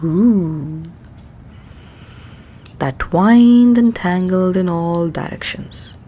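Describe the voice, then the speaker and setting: A woman's voice: lowish voice, extremely slow, lo-fi sound. A woman reading a children's story aloud in a dramatic, explanatory way, drawing out words and adding asides for young listeners. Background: music.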